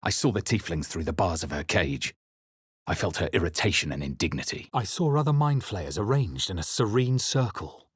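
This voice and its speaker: A man voicing a grave, introspective adventurer who narrates his own dark fantasy memories for a video game in a deliberate, literary way. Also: low voice, lively intonation, husky, dry recording, clean studio sound, full character voice. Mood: brooding. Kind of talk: scripted first-person game narration.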